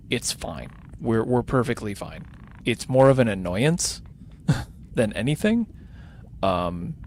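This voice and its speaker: A tired man whose voice is starting to go hoarse, rambling casually and thinking aloud as he hosts a gaming livestream. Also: on the low side, slight background noise. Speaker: livestreamer hosting a charity gaming stream. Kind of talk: casual unscripted game-stream chat.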